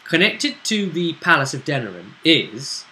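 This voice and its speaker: British male game master, forceful bright tone, halting casual recap, faint noise behind. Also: fairly high pitch, big pitch swings, low-quality recording. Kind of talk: casual recap of a tabletop role-playing game.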